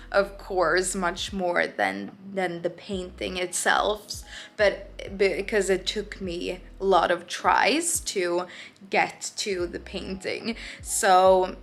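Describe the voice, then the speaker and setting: Slow, halting Swedish woman vlogging. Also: mid-range voice, a bit bright. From Sweden. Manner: hesitant, halting, searching for words. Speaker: artist vlogger. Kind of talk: casual talking-to-camera vlog. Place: home art studio, indoors.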